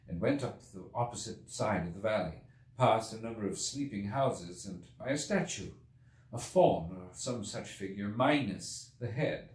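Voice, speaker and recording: Man reading as an earnest Victorian gentleman adventurer, echoey. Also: mid-range voice. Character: earnest Victorian gentleman time traveler recounting his ordeal. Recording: echoey room, distant from mic.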